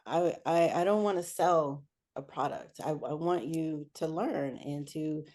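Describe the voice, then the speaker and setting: Low, unhurried, mellow-voiced woman. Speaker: interview guest, air traffic control supervisor and businesswoman. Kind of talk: conversational interview answers.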